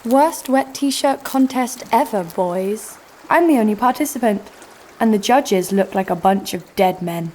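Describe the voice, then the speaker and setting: A brash female adventurer played by an actress tells her exploits in an audio drama. She is sardonic and cocky, at a middling pitch, with faint ambience behind her. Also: animated, measured pace, dark tone, a little hiss or hum, natural voice. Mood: mocking, cocky. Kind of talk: first-person audio drama narration and dialogue.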